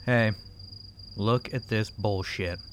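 An actor in an audio fiction comedy playing a jealous, defensive, foul-mouthed video maker who gripes sarcastically. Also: male voice, lowish voice, slow, background noise. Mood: irritated. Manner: sarcastic, griping, profane. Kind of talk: naturalistic audio fiction dialogue.

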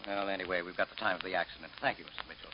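An actor playing a crusading newspaper editor, speaking earnestly in 1940s radio acting style on an old radio broadcast. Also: male voice, low voice, steady tone, very fast, muffled recording, heavy background noise, close to an everyday voice.

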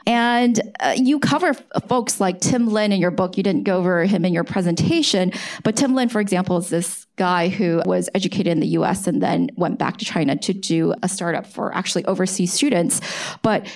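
A woman's voice: on the low side, fairly quick. A woman who grew up in the United States, co-hosting a podcast and chatting animatedly as interviewer on a live stage. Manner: chatty, animated, with fillers like "like" and "right?". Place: event hall with live audience.

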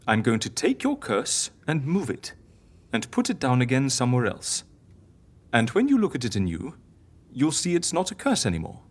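An eccentric, theatrical woman healer, with a low, husky voice that swings wildly up and down. She coaxes playfully and persuasively, in audio drama style. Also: wildly swooping pitch, moderate pace, heavily acted. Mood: coaxing, solemn. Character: eccentric, theatrical female healer, coaxing and irrepressibly cheerful.

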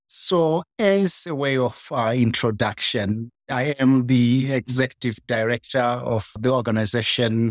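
A middle-aged man with a Zimbabwean accent, giving measured answers as an interview guest. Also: medium pitch, dry recording, clean studio sound. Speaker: interview guest, executive director and pharmacist.

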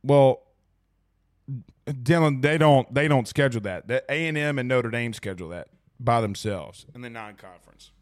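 A hoarse man hosting a casual sports talk show, excitable and emphatic, repeating lines for effect as he reads viewer comments. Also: mid-range voice, normal speed.

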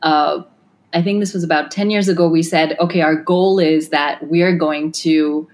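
Woman interviewee, deep voice, thoughtful, hesitant, lots of ums and restarts. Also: fairly even intonation, moderate pace, darkish tone. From Kenya and Australia. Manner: thoughtful, with many fillers and restarts. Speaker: tech design firm founder. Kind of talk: podcast interview answers.

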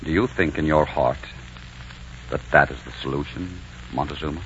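An actor playing a commanding, lovestruck Spanish conquistador in a 1940s radio drama. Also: male voice, a bass voice, normal speed, fairly bright tone, slight background noise. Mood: hushed, solemn. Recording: dull 1940s radio recording.

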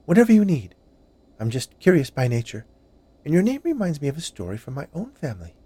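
A man's voice: fairly high pitch, wildly swooping pitch, brisk, warm tone, voice pushed up. A man voicing a plump, cheerful woman confectioner in an audiobook, chatty, gushing and nosy. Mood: chirpy, curious. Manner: chirpy, gushing, inquisitive. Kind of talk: audiobook character voice.

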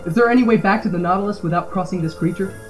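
A man acting in an amateur audio drama as a practical, protective, teasing diver, casual and brisk. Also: sits high, faint music behind, natural voice. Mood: concerned.

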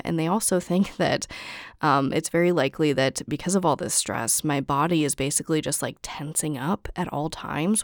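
Young woman, podcast host, hoarse, raspy, low voice, gravelly, dry close sound, chatty with fillers. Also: dry recording. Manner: chatty, frequent ums and likes, laughs softly. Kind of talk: after-show update to listeners.

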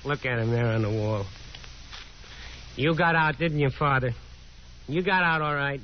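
An actor playing a bitter, restless young American son, sardonic and theatrical, in 1950s radio drama style. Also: male voice, medium pitch, a little slow, a little hiss or hum, close to an everyday voice. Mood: bitter, wistful. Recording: dull old radio broadcast recording.

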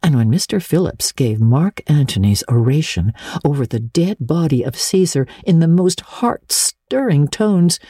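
A woman narrating classic literature as an audiobook, with dramatic, measured storytelling. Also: low-pitched, warm tone, breathy edge, no room sound, clean studio sound.

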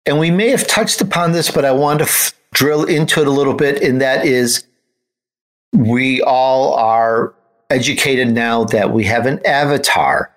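A man's voice: medium pitch, a little slow. A man with an American accent, speaking conversationally as the host and interviewer of a show. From United States, grew up in Chicago.

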